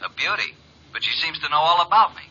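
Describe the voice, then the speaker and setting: An actor playing a brash, wisecracking American private investigator in an old radio drama, breezy, slangy and direct. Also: male voice, fairly high pitch, lively intonation, fast, sharp tone, a little hiss or hum. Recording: muffled vintage radio recording.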